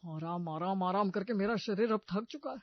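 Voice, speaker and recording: A woman's voice: mid-range voice, normal speed, no room sound, lightly acted. Woman doing a whiny young guy for a comedy cartoon, over the top, hesitant, close dry sound bit muffled. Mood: whining, frustrated. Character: whiny young son-in-law desperate to dance, male. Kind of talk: Hindi comedy cartoon story dubbing.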